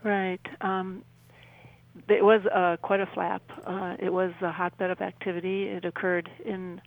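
A woman in her sixties with a Midwestern American accent, telling a personal story as an interview guest in a halting, conversational way. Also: lowish voice, little pitch movement, no echo, narrow, tinny audio. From southwestern Wisconsin, United States. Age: 60s.

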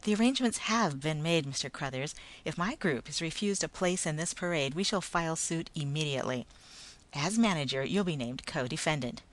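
A woman doing a calm, firm Martian ant. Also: sits low. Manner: calm, polite, measured. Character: gentle but firm male Martian ant.

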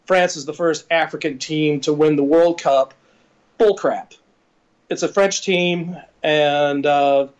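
A man's voice: fairly high pitch, muffled recording. A man co-hosting a sports talk show, blunt, with hesitant fillers between points. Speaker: podcast co-host.

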